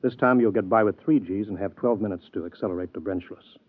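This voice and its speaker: An actor playing a businesslike space program chief with dry humor, in a 1950s radio drama. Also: male voice, fairly low pitch, fast, no room sound, phone-quality sound, lightly acted. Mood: brisk. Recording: dry telephone-thin radio broadcast.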